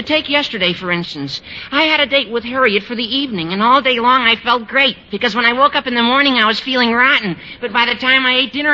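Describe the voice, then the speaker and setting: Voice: mid-range voice, sharp tone. An actor playing an earnest, lovesick teenage schoolboy who gushes naively in a 1940s radio sitcom. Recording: muffled old radio recording, faint noise.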